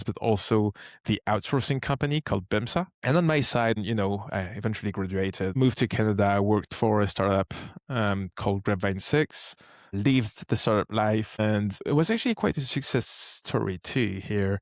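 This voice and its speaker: Low-voiced man with a French accent. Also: no echo, clean studio sound. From France. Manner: relaxed, thinking aloud with frequent restarts and "you know". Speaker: guest, startup co-founder. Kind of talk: casual interview conversation.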